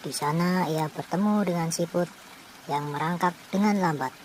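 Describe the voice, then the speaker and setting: A woman's voice: on the low side, moderate pace, darkish tone, a little hiss or hum. Woman narrating a children's story.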